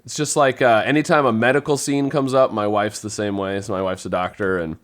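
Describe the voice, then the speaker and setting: A man's voice: on the low side, fairly even intonation, fairly quick. Man chatting in loose, colloquial phrases. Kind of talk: casual podcast chat.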